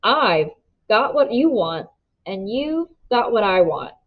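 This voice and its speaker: A silky, scheming gentleman villain, as a woman reads him aloud, polite and ingratiating with menace under it. His voice is pitched mid-range. The storybook recording is dull and lo-fi. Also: sing-song, takes it slowly. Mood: coldly bargaining. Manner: silky, ingratiating, then hard bargaining. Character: smooth scheming older man, polite menace.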